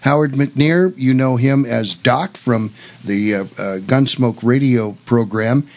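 Mellow-voiced American male radio host. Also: mid-range voice, slow pace, dull audio. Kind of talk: casual radio talk and announcements.

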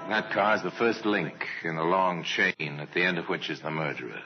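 A man narrating an old-time radio crime mystery as a grave storyteller, measured and dramatic, on a vintage recording. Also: low voice, dull audio, loud music behind the voice.

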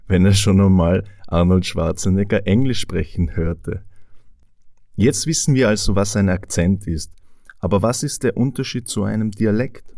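Deep, dark-voiced Austrian man explaining. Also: dry recording, studio quality. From Tyrol, Austria. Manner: clear, measured explanation with rhetorical questions. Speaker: language teacher. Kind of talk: explaining to learners of German.

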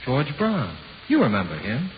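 A man's voice: medium pitch, wildly swooping pitch, normal speed. A man acting in a 1940s radio horror play as the taunting, coldly persuasive ghost of an executed convict, with tough, laconic slang. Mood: casually needling. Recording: muffled, hissy 1940s radio recording.

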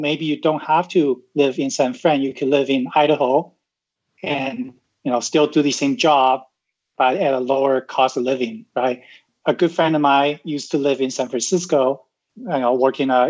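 Man from Taiwan, podcast guest, casual explaining, "right?" tags, mid pitch, muffled lo-fi sound. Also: a little mellow. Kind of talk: casual interview talk. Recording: muffled lo-fi audio.

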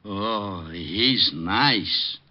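An actor playing a timid, dopey police sergeant with a hesitant, slangy drawl in an old radio drama. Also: male voice, fairly low pitch, drawn-out words. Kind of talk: 1940s radio comedy-drama acting. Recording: muffled old radio recording.

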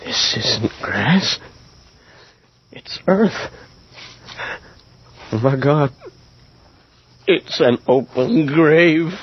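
Guilt-ridden man lost among graves, radio horror; higher-pitched, frightened, dull lo-fi sound. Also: expressive, very slow, pauses often, breathy edge, full character voice. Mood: frightened, bewildered. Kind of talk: radio horror drama acting. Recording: muffled old radio drama recording.

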